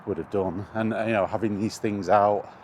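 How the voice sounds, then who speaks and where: Low-voiced man chatting outdoors, noisy background. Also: fairly even intonation, moderate pace. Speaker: video game vlogger. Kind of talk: rambling casual chat. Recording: clear outdoor background noise.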